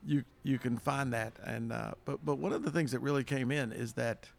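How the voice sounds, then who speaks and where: A man from Kentucky co-hosting a casual chat, telling an anecdote with quick animated asides. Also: mid-range voice, vocal fry, slight background noise.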